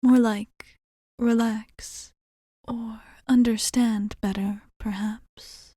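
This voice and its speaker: A woman acts out an ancient female vampire bartender in an intimate ASMR roleplay. Her voice is soft, mellow and breathy, often slipping into a whisper, and it sits fairly high. She speaks very slowly and formally, composed and attentive, in a close, dry recording. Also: steady tone, no echo, heavily acted. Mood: thoughtful, reassuring. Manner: measured, formal wording with thoughtful pauses.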